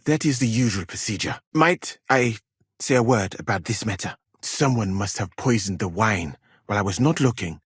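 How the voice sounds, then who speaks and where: Proud exacting game physician, husky voice, precise formal wording, dry close recording. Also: male voice, lowish voice, a bit bright, no room sound, exaggerated voice. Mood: measured, guarded. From Mali. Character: proud, exacting foreign male physician in a medieval war camp. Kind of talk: dramatic video game dialogue.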